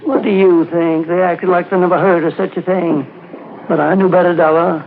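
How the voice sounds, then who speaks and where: Cranky, suspicious old man, stammering and gravelly, old-time radio melodrama, tinny sound. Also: high-pitched, flat delivery, normal speed, a little hiss or hum. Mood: suspicious, bitter. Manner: agitated, stammering, repeating words. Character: selfish, twisted, paranoid old man, agitated and cranky.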